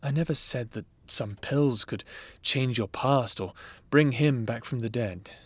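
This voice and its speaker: A man playing a patient government therapist in an audio roleplay, with measured, clinical and formal diction, close on the mic. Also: mid-range voice, husky, dry recording. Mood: calm, clinical. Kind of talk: cinematic audio roleplay acting, addressing the listener. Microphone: close, dry studio mic.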